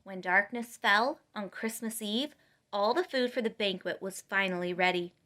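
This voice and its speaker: A woman reading a children's storybook aloud in an expressive, emphatic way. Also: mid-range voice, moderate pace. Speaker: storytime reader.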